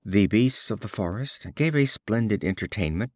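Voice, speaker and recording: A man reading fables as scripted storybook narration, in a measured, expressive storyteller's delivery. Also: on the low side, dry recording, studio quality. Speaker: audiobook reader.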